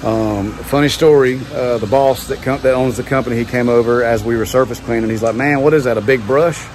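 A man's voice: mid-range voice, brisk, darkish tone. Man, 30s, casual vlog, phone held at arm's length, outdoor patio, engine noise behind, chatty, filler-heavy. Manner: chatty, laid-back, lots of "um" and "man". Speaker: pressure washing business owner, vlogger. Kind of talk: casual vlog talk to camera. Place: outdoors under a covered patio at a large house. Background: pump engine and vehicle noise.

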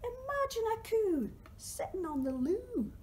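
A Scottish woman reads rhymes and sings to toddlers in a library corner, her voice lifting high and playful, then dropping to soft whispers. Her tone is warm and mellow, and she speaks slowly with long pauses. Faint room noise sits behind her. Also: sing-song. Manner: playful sing-song reading, drops to whispers. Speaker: library storytime leader. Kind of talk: reading a picture book and singing to young children.

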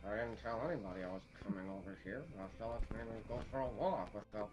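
A man's voice: lowish voice, darkish tone, faint music behind, natural voice. Man acting a restless, stubborn young small-town man, muffled sound. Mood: awkward, grudging. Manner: halting, grudging, mumbling. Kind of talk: radio drama acting.

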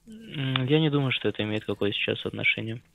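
A man's voice: medium pitch, big pitch swings, fast talker, creaky, background noise. A man working as a call center operator, talking in a polite, patient, formal way. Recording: tinny phone line.

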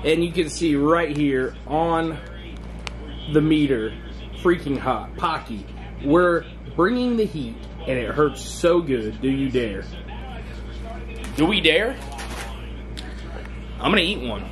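A man in his thirties vlogging to a handheld camera from a car and a hotel room, telling a rambling, excited story. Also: fairly high pitch, slow pace, pauses often, rough recording. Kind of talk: casual vlog. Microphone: handheld camera at arm's length.

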